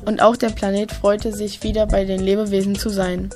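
A woman's voice: mid-range voice, flat delivery, normal speed, darkish tone, soft background music. A woman reading scripted narration as the storyteller of a children's audio play.

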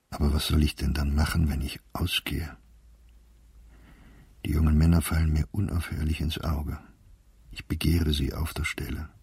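A man reading a literary diary aloud in a quiet, introspective, measured way, recorded close in a studio. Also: low-pitched, husky, dry recording.

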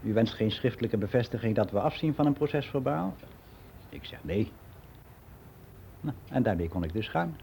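Older man giving frank, matter-of-fact radio play monologue; soft dark tone, slightly noisy recording. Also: mid-range voice, animated, normal speed, creaky, long pauses. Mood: candid, indignant. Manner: matter-of-fact, rambling, candid.

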